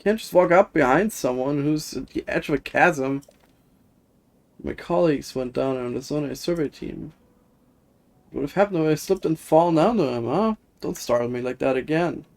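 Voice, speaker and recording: A man's voice: on the high side, animated, moderate pace, a little mellow. A man voicing a busy, grumbly but helpful survey worker from a fantasy game, reading the dialogue aloud in a matter-of-fact way. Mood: startled, annoyed.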